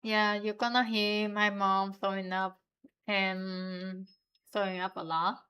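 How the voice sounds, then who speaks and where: A young woman from Vietnam with a Vietnamese accent, chatting to viewers in a relaxed, giggly way while she draws on stream. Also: mid-range voice, slow, clean tone. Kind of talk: casual stream chat while drawing.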